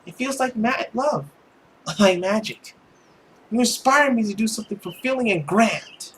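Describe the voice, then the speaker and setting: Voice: medium pitch, animated, normal speed, fairly bright tone, slight background noise, pitched above the performer's own voice, natural voice. A narrator voicing an earnest, dreamy young friend in a visual novel, sincere and wistful. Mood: earnest, adoring.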